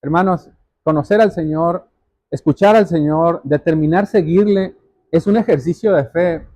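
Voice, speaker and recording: A man's voice: on the high side, normal speed, darkish tone, no echo. A man preaching a sermon as a pastor, speaking earnestly and directly to his listeners and repeating phrases for emphasis. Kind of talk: sermon, exhortation.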